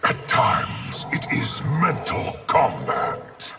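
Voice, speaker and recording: An actor voicing a grave, menacing undead construct of many souls, with a raspy growl and solemn, formal delivery for a fighting game. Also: male voice, on the low side, huge pitch swings, extremely slow, over music, full character voice. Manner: solemn, formal, speaks of himself as we. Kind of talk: video game fight dialogue.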